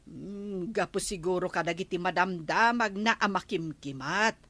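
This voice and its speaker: A woman's voice: fairly low pitch, normal speed, a bit bright, no room sound. A woman playing a nagging, skeptical village wife with an Ilocano accent in a comedic radio drama. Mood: scolding, questioning. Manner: nagging, questioning. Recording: faint noise and ambience.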